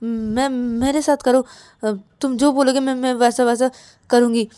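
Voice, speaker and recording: A woman's voice: a little high, fairly even intonation, fairly quick, warm tone, smooth, no room sound, natural voice. A woman voicing a desperate, submissive young husband who stammers and pleads tearfully in an audio drama. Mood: desperate begging. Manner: stammering, repeating words.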